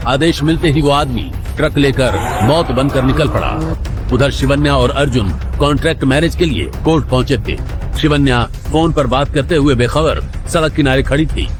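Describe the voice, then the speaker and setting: A man's voice: medium pitch, moderate pace, over music. A man narrating an audio drama in a dramatic, scripted storytelling style.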